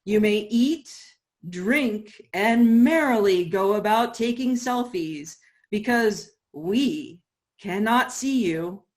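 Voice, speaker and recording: Very slow-paced woman announcer. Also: medium pitch. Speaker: host giving the welcome announcement. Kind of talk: scripted welcome to an online audience.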